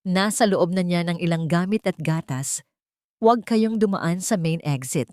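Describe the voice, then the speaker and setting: A woman's voice: fairly low pitch, moderate pace, dry recording, clean studio sound, lightly acted. A woman voicing a kindly, protective old female nurse in an audio drama, giving urgent instructions. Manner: hushed, instructing.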